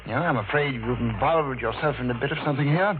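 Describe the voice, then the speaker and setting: An actor playing a formal, grave police detective in an old-time radio mystery, speaking in a measured, official way. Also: male voice, mid-range voice, natural voice. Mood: grave authority. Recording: tinny vintage radio recording.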